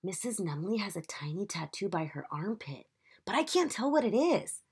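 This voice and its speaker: A woman's voice: mid-range voice, big pitch swings, moderate pace, a little mellow, husky. A woman voicing a gossipy young boy mouse in a storybook, whispering in a secretive way.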